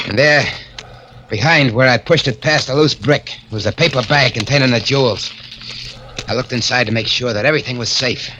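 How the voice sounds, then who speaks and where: A man's voice: medium pitch, brisk, background noise, close to an everyday voice. An actor playing a hardened male crook in a 1940s radio drama, talking in a clipped, menacing tough-guy way. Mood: brooding.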